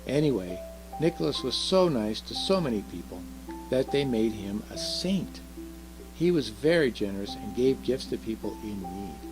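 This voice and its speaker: A man voicing a curious, earnest little girl in a children's storybook, soft and chatty, asking and explaining eagerly. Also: lowish voice, very animated, moderate pace, over music, rough recording. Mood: proud, chatty. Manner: eager questioning, explaining carefully. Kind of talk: children's storybook read aloud.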